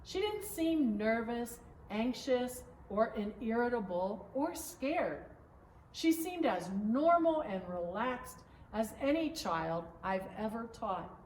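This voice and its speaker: Slow, expressive woman reading in an echoey room. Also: on the high side. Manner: measured, expressive storytelling. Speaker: pastor reading a story aloud. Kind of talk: children's picture-book read-aloud. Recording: roomy echo, distant mic, background noise.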